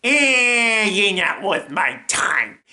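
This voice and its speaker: A woman voicing a short, gruff, hot-tempered male cartoon soldier with a belligerent, boastful manner. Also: mid-range voice, very animated, extremely slow, sharp tone, voice pushed up, exaggerated voice. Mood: threatening.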